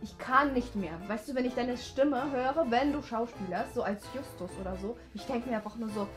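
A young adult woman making halting, improvised date conversation in a small studio. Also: a little high, faint music behind. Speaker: performer in a comedy dating video. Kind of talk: improvised awkward date chat. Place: small indoor studio set.

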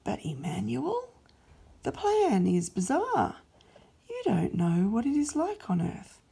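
A scornful fallen angel, sneering and shrill, as a woman reads him aloud in a storybook. The voice climbs high and rasps with gravel, the tone dark and husky. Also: huge pitch swings, slow pace, exaggerated voice. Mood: desperate.

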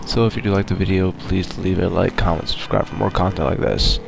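A young man with a nasal voice, sounding sick and tired, talking quietly in a casual gaming voice-over. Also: low voice, steady tone, fairly quick, vocal fry, noisy background. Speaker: gaming YouTuber. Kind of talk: casual gameplay voice-over commentary.

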